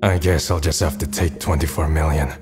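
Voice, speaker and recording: A man's voice: extremely low pitch, steady tone, fairly quick. A man playing a gloomy, self-deprecating twenty-year-old who narrates his own story in a subdued, resigned way. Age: young adult. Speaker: narrator and protagonist. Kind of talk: scripted first-person narration. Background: background music.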